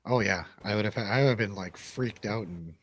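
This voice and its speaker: A man's voice: lowish voice, fairly quick, slight background noise. A man in a casual, opinionated film review chat, repeating his phrases for emphasis. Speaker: movie review panelist.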